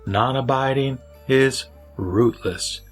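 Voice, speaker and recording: A man's voice: medium pitch, takes it slowly, slightly rough, soft background music, voice pushed up. A man voicing a wise lay teacher who answers solemnly and formally in a scripture audio drama. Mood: solemn teaching. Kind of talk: audio drama sutra recitation.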